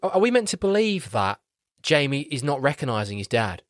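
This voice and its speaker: A man co-hosting a film podcast, talking in an animated, sweary and mocking way. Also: mid-range voice, brisk, no room sound, clean studio sound. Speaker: podcast co-host. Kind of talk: casual film review chat.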